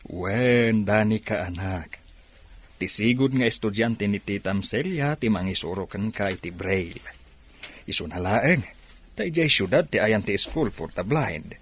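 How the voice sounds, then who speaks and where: A gentle, caring father in an Ilocano radio drama. His voice is husky and moves freely in pitch, with an Ilocano Filipino accent. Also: expressive, a little slow.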